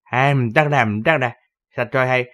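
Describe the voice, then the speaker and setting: A man's voice: medium pitch, normal speed, a bit bright, natural voice. A man voicing a chubby, exhausted young man in an audiobook, sighing, stammering and complaining. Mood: exhausted grumbling.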